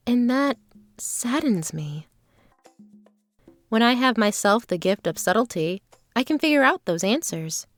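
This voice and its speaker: A woman playing an earnest, guarded traveling performer with formal, measured phrasing in a fantasy audio drama. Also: a little high, no room sound. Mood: wistful.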